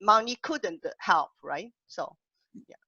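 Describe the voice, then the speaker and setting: A woman translator co-hosting an online poetry panel, speaking with a heavy non-native accent and often pausing to search for words. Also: medium pitch, expressive, sharp tone, slightly rough, no echo. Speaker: translator and co-host. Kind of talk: casual online panel discussion. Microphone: video call. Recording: dull, dry video call audio.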